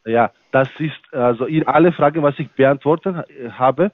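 A man's voice: a little high, no echo. Hesitant man on a tinny phone line. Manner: halting, hesitant, broken German. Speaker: scam caller posing as account adviser.

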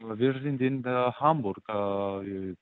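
A man's voice: fairly low pitch, no room sound. A hesitant man, not a native German speaker, who stumbles through his answers. He comes through a tinny, phone-like line that sounds dry and close. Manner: hesitant, halting speech. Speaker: scam call-center caller.

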